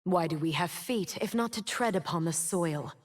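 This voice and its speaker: A woman's voice: on the low side, normal speed, lightly acted. Woman doing a bitter fallen angel girl, sneering at her twin, game voice. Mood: mocking. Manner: mocking, resentful, dramatic. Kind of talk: video game voice lines.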